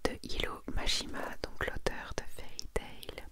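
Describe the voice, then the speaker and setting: Whispering French-style ASMR woman, breathy and hushed. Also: measured pace. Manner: soft, hushed, intimate delivery. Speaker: ASMR creator presenting a manga collection. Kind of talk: whispered ASMR, reading summaries with casual asides.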